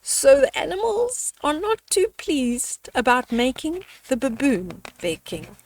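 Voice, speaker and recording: A woman reading a bedtime story aloud to children, speaking deliberately and adding little asides for young listeners. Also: high voice, wildly swooping pitch, a little mellow, distant mic, a little hiss or hum, poor audio.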